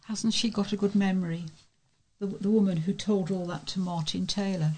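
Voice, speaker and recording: A woman's voice: medium pitch, fairly even intonation, normal speed, mellow, rough recording. A woman with an English accent, a volunteer newsreader, reading local news items aloud in an informal way.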